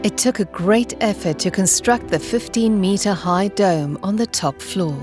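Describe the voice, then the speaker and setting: A woman delivers scripted narration for a documentary. Her mid-range voice is measured and explanatory, with music playing clearly underneath. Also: medium pitch. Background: background music.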